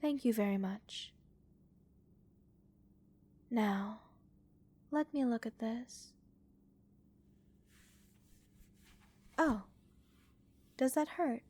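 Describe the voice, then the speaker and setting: A woman acts out a gentle female alien scientist in an ASMR audio roleplay. She speaks slowly and softly in a mellow, slightly high voice, measured and reassuring, with a curious clinical manner. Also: breathy edge, exaggerated voice. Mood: attentive, clinical. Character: gentle, curious female alien researcher examining a captured human. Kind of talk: soft-spoken ASMR roleplay acting.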